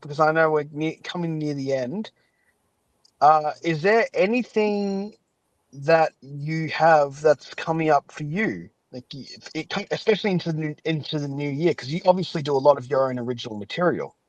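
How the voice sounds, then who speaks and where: A man's voice: a little high, moderate pace, no echo, low-quality recording. Chatty, enthusiastic male podcast host, dry sound. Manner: chatty, rambling, giggly, enthusiastic. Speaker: podcast host and fan-dub maker. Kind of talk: casual chat.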